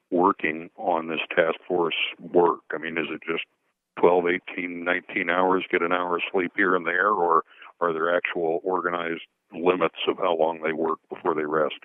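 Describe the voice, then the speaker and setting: A man with a deep, even voice questions an official as a reporter would on a press call. The sound is dry and phone-like. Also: moderate pace, no echo.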